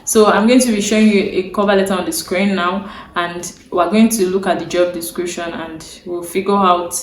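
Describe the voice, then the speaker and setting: A woman's voice: on the low side, fairly even intonation, normal speed, slight background noise. Earnest Nigerian woman in echoey room. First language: Yoruba. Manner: earnest, repeats key points, checks in with viewers. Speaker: career advice vlogger. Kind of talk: instructional talk to camera. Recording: roomy echo, faint ambience.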